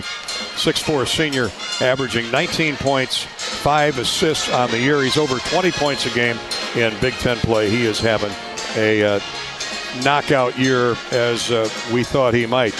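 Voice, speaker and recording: A man calls a game as a radio play-by-play announcer, his voice sitting at a middle pitch. His delivery pauses and hesitates between items, and music plays clearly behind him. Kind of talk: sports radio lineup commentary.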